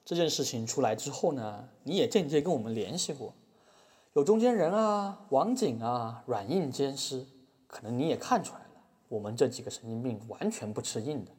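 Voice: mid-range voice, animated, normal speed, darkish tone, close to an everyday voice. A performer playing a flippant artist activist, mocking and playful, in a scripted audio drama. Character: cheeky, flippant artist activist taunting a company boss.

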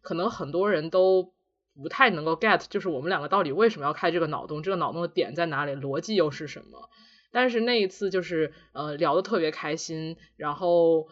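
Podcast co-host, mid-range pitch, casual conversation, reflective, self-deprecating, slightly dull audio. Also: fairly quick. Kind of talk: casual two-person podcast chat.

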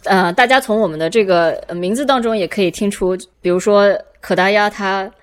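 A woman with a medium-pitched voice speaks as a podcast co-host. Her style is casual and conversational, the talk of an informal chat show. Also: fairly quick.

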